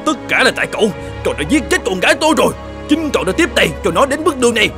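A man's voice: extremely high pitch, big pitch swings, very fast, music in the background, heavily acted. An actor playing a hot-tempered, domineering middle-aged innkeeper and vengeful father in a cartoon folk tale, blustering and threatening. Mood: accusing, enraged.

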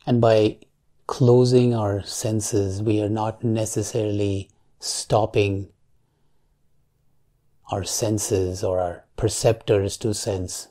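A man leading a guided meditation in a calm, measured way, leaving long pauses between short phrases. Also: on the low side.

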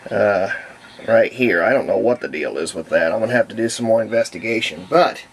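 A man giving casual hands-on repair commentary as a hobbyist, thinking aloud in a halting way with folksy wording. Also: fairly low pitch, animated. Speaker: hobbyist radio restorer. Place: indoor workbench. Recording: noisy handheld camera audio.

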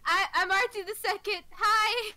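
A woman's voice: very high-pitched, steady tone, unhurried, heavy background noise. A woman chatting casually on a livestream as a contestant, giggly and playful. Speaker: quiz contestant on a stream.

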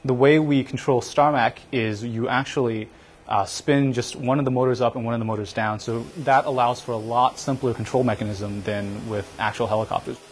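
A man's voice: medium pitch, steady tone, brisk. A young adult man, a researcher, giving a technical explanation in an interview. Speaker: university researcher. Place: small indoor lab. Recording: faint lab background noise.

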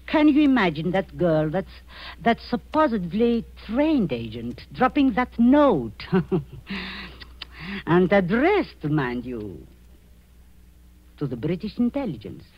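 A woman's voice: lowish voice, very animated, slow pace, long pauses, no room sound, exaggerated voice. An actress playing a ruthless, imperious middle-aged woman spy posing as a kindly aunt, in theatrical 1940s radio style. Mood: scornful, gloating. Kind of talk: 1940s radio suspense acting. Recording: muffled 1940s radio recording.

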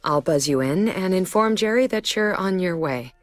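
An actress playing a polite, flustered bank teller in a video game, courteous and hesitant. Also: female voice, lowish voice, expressive, normal speed, no room sound, soft background music, natural voice. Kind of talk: video game cutscene acting.

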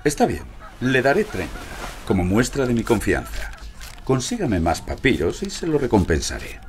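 An actor playing a shrewd archaeologist working undercover, in a scripted audio drama. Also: male voice, mid-range voice, huge pitch swings, normal speed, a little hiss or hum. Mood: shrewd, bargaining. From Germany. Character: shrewd, haggling German archaeologist undercover as beer exporter. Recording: music behind the voice.

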